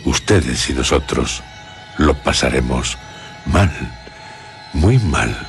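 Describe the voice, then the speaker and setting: A man from Spain presenting a radio suspense series in an ominous, theatrical manner, with deliberate pauses. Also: very deep voice. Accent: Spanish from Spain. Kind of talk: scripted suspense narration for radio. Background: music.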